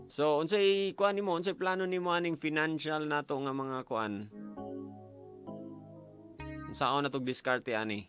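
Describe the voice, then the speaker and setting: A man's voice: a little high, measured pace, long pauses. Filipino guy, cebuano radio host chatting casually and giving advice, music playing behind him. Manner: conversational, probing with questions and advice. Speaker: radio host interviewing callers. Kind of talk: casual advice talk show chat. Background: background music.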